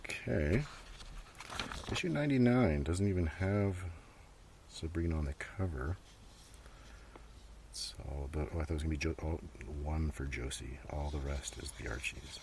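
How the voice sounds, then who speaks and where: A man's voice: a bass voice, expressive, normal speed, vocal fry, pauses often, a little mellow. A man giving a casual, rambling ASMR show-and-tell outdoors, half murmuring and drifting into whispers. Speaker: host of a collection show-and-tell. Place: outdoors in a gentle breeze. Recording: outdoor noise, light breeze. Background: breeze, paper rustling and page flipping.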